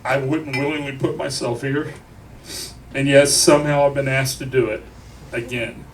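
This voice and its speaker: A grieving man delivers an emotional eulogy to a crowd, speaking deliberately, with profanity and wry humor. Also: medium pitch, steady tone. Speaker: eulogist at a memorial. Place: crowded bar at a memorial. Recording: noisy live room, distant mic.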